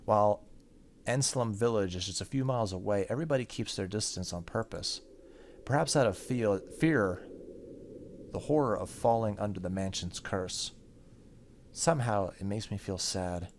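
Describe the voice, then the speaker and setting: Low-voiced man reading a story aloud. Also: moderate pace. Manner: stumbles over text and rereads. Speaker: gaming video host. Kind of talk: reading visual novel narration aloud. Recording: faint background noise.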